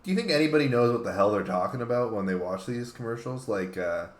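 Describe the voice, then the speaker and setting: A man's voice: medium pitch, lively intonation, fast, echoey room. A man co-hosting a casual chat, giving opinions in loose, sweary everyday language. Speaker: co-host.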